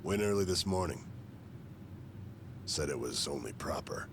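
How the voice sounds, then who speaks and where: An actor playing a stoic, world-weary old gangster veteran in a video game, speaking in a terse, dry, understated way. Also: male voice, very deep voice, lively intonation, a little slow, background noise. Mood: somber. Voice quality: gravelly. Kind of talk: video game cutscene acting.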